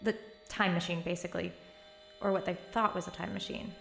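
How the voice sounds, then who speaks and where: A woman's voice: fairly low pitch, fairly even intonation, brisk, creaky, a bit bright. An actress playing a determined, matter-of-fact young woman in an audio drama, dry and slightly exasperated. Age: young adult. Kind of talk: naturalistic audio drama acting. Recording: roomy echo with music behind.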